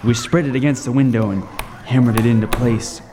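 A man's voice: mid-range voice, steady tone, brisk, mellow, lightly acted. An actor playing a lighthouse keeper who tells his horror story with vivid, suspenseful intensity. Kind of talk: dramatic first-person narration in radio table read. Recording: roomy long reverb, faint noise.

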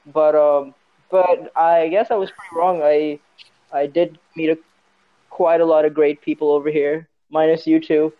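Male podcast guest, young adult, fairly high voice, loose joking chat, somewhat lo-fi sound. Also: level tone, normal speed, slight background noise. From Saudi Arabia. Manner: informal, loosely rambling, joking. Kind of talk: casual chat.

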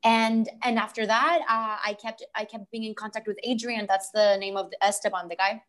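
A young woman from Rico, telling her story as a guest in a lively, rambling way with lots of "like". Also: medium pitch, fairly quick. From Puerto Rico. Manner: animated, rambling storyteller, frequent "like". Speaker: guest actress. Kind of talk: casual interview storytelling.

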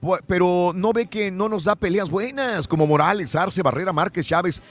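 A man's voice: sits high, normal speed. A man hosting a morning radio show, bantering and reading out a listener poll. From Mexico. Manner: animated, reads listener poll results.

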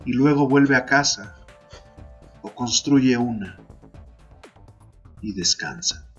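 A man's voice: medium pitch, lively intonation, a bit bright, husky, lo-fi sound. Man narrating a poetic tale over music. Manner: measured, deliberate, second-person storytelling delivery. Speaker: podcast host and narrator. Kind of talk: dramatic literary narration of a poem.

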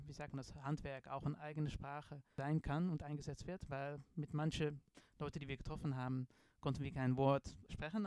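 A man's voice: medium pitch, creaky, darkish tone, dry recording. A middle-aged man with a Dutch accent, a design professor answering in a podcast interview, hesitant with many fillers and restarts. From Netherlands.

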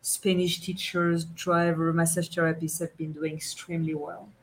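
A woman's voice: low-pitched, level tone, moderate pace, low-quality recording. A woman with a French accent chatting casually as a guest on a radio show. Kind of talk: casual chat.

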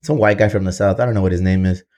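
A man's voice: low voice, fairly even intonation, very fast, a little mellow. A man in his thirties hosting a podcast, joking and swearing in casual banter and dropping into Spanish phrases. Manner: profane, joking, slips into Spanish. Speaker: podcast host.

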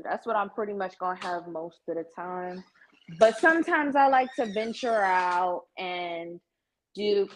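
A woman guest chatting casually as a salon client, with a blunt, candid, slangy manner. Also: medium pitch. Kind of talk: casual chat.

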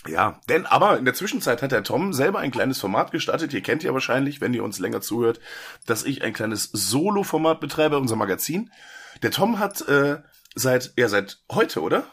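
A man's voice: medium pitch, very animated, normal speed, a bit bright, breathy edge. A man hosting a horror movie podcast, talking casually with lots of fillers and jokes while he has a cold. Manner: chatty, joking, many fillers and restarts. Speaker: podcast host.

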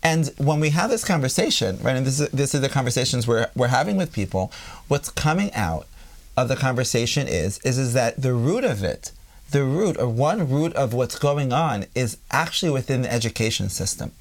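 An American man with a mid-range voice hosts a casual podcast conversation. He talks in a relaxed, explanatory way, telling a story and repeating phrases as he gathers his thoughts. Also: mid-range voice, a little fast. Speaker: podcast host. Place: room in Israel.

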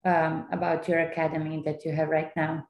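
A woman's voice: deep voice, completely flat delivery, a little fast, dull audio. A woman hosting a podcast, asking casual, stumbling questions and laughing often. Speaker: podcast host, interviewer. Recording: echoey room.

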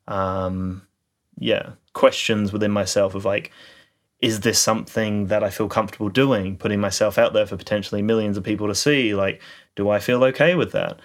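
A man co-hosting a casual podcast, talking reflectively with many fillers and restarts. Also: lowish voice. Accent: non-standard, mocked as a kid. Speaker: podcast co-host, YouTuber.

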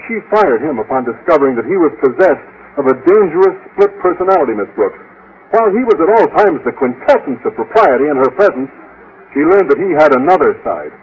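An actor playing a bashful, naive schoolteacher with a formal, earnest manner in an American radio comedy from the 1950s. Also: male voice, a little high, very animated, a little fast, slightly rough. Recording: dull, faintly noisy old radio recording.

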